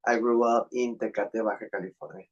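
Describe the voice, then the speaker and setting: A man from Mexico whose first language is Spanish, speaking English with a Mexican accent as an interview guest, earnest and a little halting, over a video call. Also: medium pitch, fairly even intonation, lo-fi sound. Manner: earnest, halting non-native phrasing.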